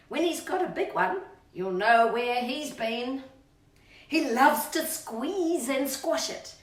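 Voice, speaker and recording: A woman reading aloud to children in a playful, sing-song storybook style, drawing out words for emphasis and chatting to her pet. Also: fairly high pitch. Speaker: children's storytime reader and channel host. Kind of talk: expressive storybook read-aloud with asides to a pet dog. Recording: roomy echo, distant microphone.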